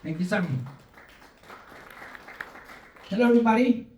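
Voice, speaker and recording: A man's voice: high-pitched, expressive, moderate pace, darkish tone, faint music behind, a faint crowd. Man giving a keynote in an echoey hall. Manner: engaging, asks audience to respond, many fillers and restarts. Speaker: conference speaker, company CTO. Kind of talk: conference keynote talk. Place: large conference hall. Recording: echoey hall, distant mic.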